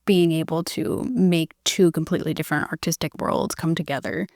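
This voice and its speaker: A woman's voice: deep voice, fairly even intonation, a little fast, a little mellow, no room sound, studio quality. A woman co-hosting a music interview podcast, asking her guests questions in a casual, curious way. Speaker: podcast co-host.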